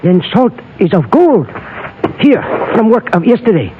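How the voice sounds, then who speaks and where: A man's voice: high-pitched, very animated, measured pace. A man playing a respectful Chinese miner with a Chinese accent and clipped, broken English in a radio drama. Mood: deferential. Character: respectful, eager Chinese male gold miner. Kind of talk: old-time Western radio drama acting. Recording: tinny, noisy old radio recording.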